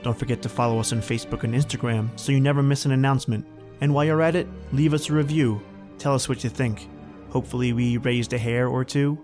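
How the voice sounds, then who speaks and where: A man's voice: medium pitch, quick pace, darkish tone, faint music behind. A man hosting a podcast, reading a scripted outro. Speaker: podcast host.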